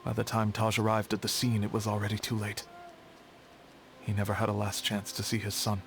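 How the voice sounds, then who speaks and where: A man playing a sober, matter-of-fact archivist in a video game, explaining things in a measured way. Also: on the low side, completely flat delivery, creaky, a little hiss or hum. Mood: somber.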